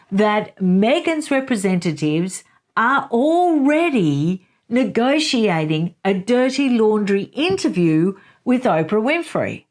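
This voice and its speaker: A woman hosting her own commentary channel, making emphatic opinionated arguments and reading passages aloud. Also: on the high side. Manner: emphatic, rebutting, reads quotes aloud.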